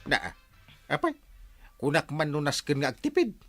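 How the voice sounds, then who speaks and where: Casting: male actor as stubborn, miserly Ilocano village captain, whiny protests, extremely animated pitch, audio drama. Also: normal speed, faint music behind. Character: stubborn, penny-pinching village captain dodging his bath. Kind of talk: Ilocano radio-style audio drama acting.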